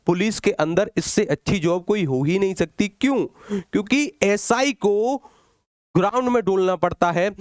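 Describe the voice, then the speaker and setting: Male exam coach, notably high voice, close dry sound, emphatic question-and-answer teaching delivery. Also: clean tone, no room sound. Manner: direct, conversational address to students. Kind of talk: exam-update lecture to students.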